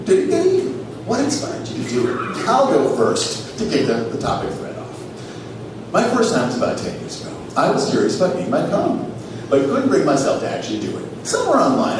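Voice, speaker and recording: Male live comedy host, theatrical reader with huge pitch swings, noisy distant stage recording. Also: huge pitch swings, fast, very echoey. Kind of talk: reading an internet post aloud for laughs.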